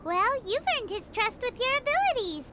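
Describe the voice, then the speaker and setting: A woman's voice: very animated, moderate pace. A woman voicing a tiny, cheeky female fairy companion in a video game, teasing and blunt. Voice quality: high, squeaky, childlike. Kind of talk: video game cutscene dialogue. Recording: game audio with loud music behind.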